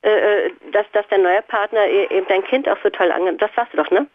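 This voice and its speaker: Überschwängliche Anruferin am Telefon. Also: female voice, mid-range voice, dry recording. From Berlin, Germany. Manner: effusive, halting, many fillers. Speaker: radio caller. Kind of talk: phone-in chat. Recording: tinny phone line.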